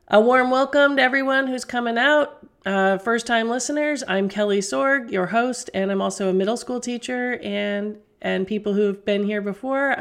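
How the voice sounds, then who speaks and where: A woman's voice: medium pitch, gravelly. A middle-aged woman hosting a podcast as a teacher, getting over a cold, explaining things earnestly with fillers and restarts. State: recovering from a cold. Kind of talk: solo podcast talk.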